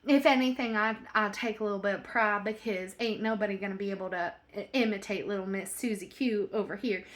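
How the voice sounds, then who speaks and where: A woman playing a gushing, folksy bank teller who talks loudly with a drawl. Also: mid-range voice, close to an everyday voice.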